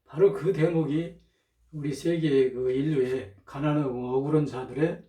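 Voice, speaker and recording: A man talking in an informal, rambling monologue, hesitant, often clearing his throat, with a slightly strained throat, on a cheap microphone. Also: medium pitch, fairly even intonation, normal speed, mellow. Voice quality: strained throat from chronic irritation. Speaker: lecturer, channel host. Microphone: cheap, low-volume microphone. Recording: roomy, distant cheap microphone.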